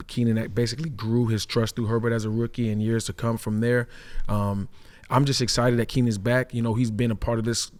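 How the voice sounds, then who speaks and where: Low-voiced man chatting about sports. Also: a little mellow. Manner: conversational, often checks in with "right?". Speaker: sports analyst, former pro player. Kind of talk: casual sports podcast chat.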